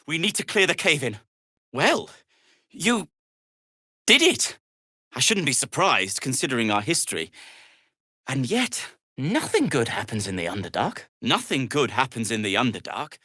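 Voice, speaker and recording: Casting: a sardonic, fussy gnome for a game; prim, exasperated, very animated pitch, close dry sound. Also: male voice, normal speed, pauses often, dry recording. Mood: wry, then grudging. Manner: wry, prim, exasperated, formal wording. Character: fussy, sardonic, world-weary male gnome. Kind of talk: video game character dialogue.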